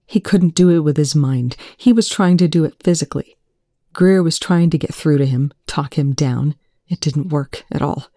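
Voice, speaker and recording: A woman reading aloud, voicing a distraught young man who shouts and then chokes out whispers through tears. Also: sits low, normal speed, dry recording, clean studio sound. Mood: urgent recounting.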